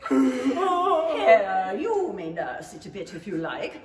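A woman's voice: mid-range voice, sing-song, takes it slowly. A woman playing a rude, harsh, cackling duchess with brash, theatrical delivery in a radio play. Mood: gleefully cruel. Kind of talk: 1930s radio play acting. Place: small indoor theatre stage, camera at a distance. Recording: echoey hall from far off, noisy.

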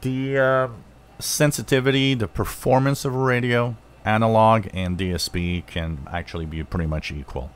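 A man hosting a hobby review, explaining things unscripted in halting short phrases, as if thinking aloud. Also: lowish voice, steady tone, smooth. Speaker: channel host reviewing radios.

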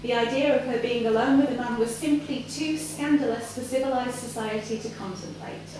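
A young woman reading a story aloud in a measured, deliberate storytelling delivery. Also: a little high. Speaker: reader at a live literary night. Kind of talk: dramatic reading of written fiction. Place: live in a café before an audience. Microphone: far camera. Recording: echoey, distant camera mic, room noise.

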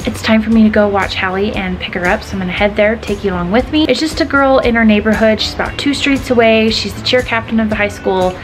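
A woman's voice: medium pitch, quick pace, hardly any pauses, faint music behind. A woman in her thirties, a family vlogger, chatting upbeat to a handheld camera about her day. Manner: chatty and upbeat, talking straight to viewers. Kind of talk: casual vlog chat to camera. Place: home, car and backyard pool. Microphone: handheld camera at arm's length.

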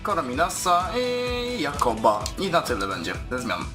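A high-pitched male gamer commentates his match live as it unfolds. He talks in exclamations, repeating names and cries. Music plays clearly behind him. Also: huge pitch swings, moderate pace. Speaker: gaming YouTuber. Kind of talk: excited live gameplay commentary.

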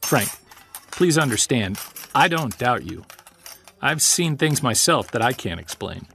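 An actor playing a calm, courteous investigator who explains things in a measured way in an audio drama. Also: male voice, medium pitch, gravelly, slight background noise, heavily acted. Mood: calm, reassuring. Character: calm, polite male investigator of mysterious creature sightings. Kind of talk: audio drama dialogue and narration.

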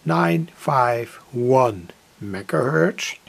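A middle-aged man with a gravelly, husky voice giving an informal, halting technical explanation as a hobbyist presenter. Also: medium pitch, animated. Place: indoor home electronics workbench.

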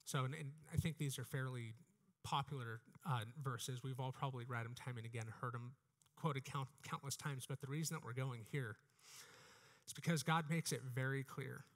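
A man's voice: medium pitch, fairly even intonation, normal speed, fairly bright tone. A man in his thirties with an American accent, giving an earnest, exhorting sermon into a headset mic, often repeating phrases. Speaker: preacher. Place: church stage, indoors.

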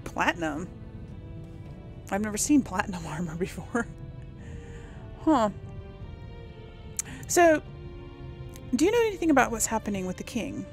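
A woman's voice: fairly high pitch, big pitch swings, a little slow, vocal fry, pauses often, a little mellow, soft background music. A woman hosting a casual game playthrough, chatty and hesitant as she thinks aloud. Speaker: gaming video host. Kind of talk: casual let's play commentary.

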